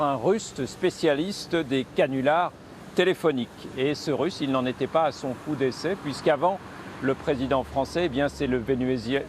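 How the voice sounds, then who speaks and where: Guy doing a live correspondent report, medium pitch, some traffic noise outside. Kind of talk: live news report, conversational. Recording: outdoor city noise with traffic.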